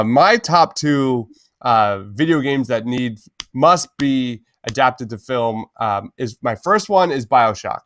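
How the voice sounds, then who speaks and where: An enthusiastic man chats casually as a podcast co-host. His voice sits at a middling pitch with very little room sound, and he talks like an excited fan among friends. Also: no echo, studio quality. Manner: enthusiastic, uses "man" often.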